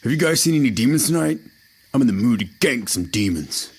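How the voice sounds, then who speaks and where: A man playing a cocky, gruff demon-hunting brother from a TV show parody, with a macho, clipped delivery. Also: mid-range voice, animated, brisk. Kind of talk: parody of supernatural TV drama. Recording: music under the voice.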